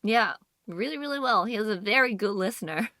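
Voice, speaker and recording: A woman co-hosting a podcast in casual chat, animated, often checking in with tag questions as she feels out her phrasing. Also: medium pitch, a little fast, no echo, clean studio sound. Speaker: podcast co-host. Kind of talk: casual chat about manga.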